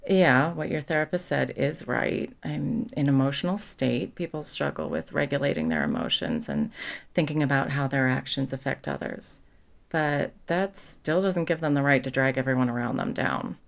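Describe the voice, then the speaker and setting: A woman's voice: rumbling low, animated, normal speed, creaky, exaggerated voice. A young woman playing a caring, protective best friend in a conversational comfort roleplay, supportive and blunt. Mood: protective, indignant. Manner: casual, supportive, blunt, sweary.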